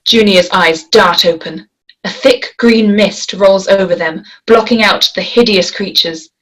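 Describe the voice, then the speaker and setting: A woman reading a screenplay aloud in a measured, descriptive way, giving action lines and scene headings. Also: mid-range voice, a bit bright, low-quality recording. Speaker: script reader. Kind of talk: screenplay table read.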